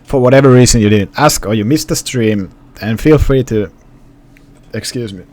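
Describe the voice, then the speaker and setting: Young Finnish streamer, light accent, loose rambling chat with viewers, mid-pitched. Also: male voice, moderate pace, a little mellow. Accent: Finnish, partly suppressed. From Finland. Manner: rambling, restarts, talks to chat. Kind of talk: casual live-stream chat.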